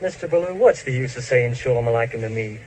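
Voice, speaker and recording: An actor playing a young, stubborn ranch hand in an old western film, giving short, blunt denials. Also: male voice, medium pitch, animated, quick pace, darkish tone, a little hiss or hum, natural voice, low-quality recording.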